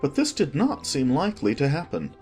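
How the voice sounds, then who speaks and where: A narrator reading a children's storybook in an expressive, scripted storytelling manner. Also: mid-range voice, brisk, faint music behind. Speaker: audiobook narrator.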